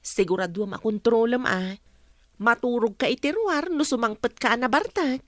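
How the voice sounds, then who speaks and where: High-voiced earnest young Ilocano wife. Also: female voice, animated, moderate pace, fairly bright tone, dry recording, clean studio sound. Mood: concerned, cautioning. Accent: Filipino, Ilocano. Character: earnest young wife, a farm-produce seller troubled by suspicion. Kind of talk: radio drama acting. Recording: music bed under radio drama dialogue.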